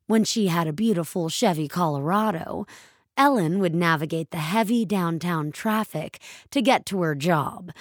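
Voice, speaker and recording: Woman narrating fiction, takes her time, really animated pitch swings, dry close sound. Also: no echo. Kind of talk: scripted story narration.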